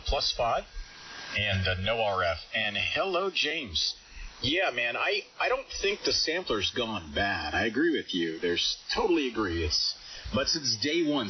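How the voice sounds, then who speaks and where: A man chatting casually as an amateur radio operator over a two-way radio, thinking aloud and reading out figures in an informal way. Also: mid-range voice. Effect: two-way ham radio transmission. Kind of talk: casual radio chat, talking through equipment readings. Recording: hissy, dull, distant shortwave radio audio.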